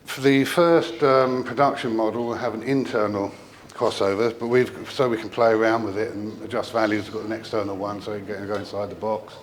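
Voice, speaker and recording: A man giving an informal technical product explanation as a company representative, in a hesitant, halting way with trailing asides. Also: lowish voice, little pitch movement.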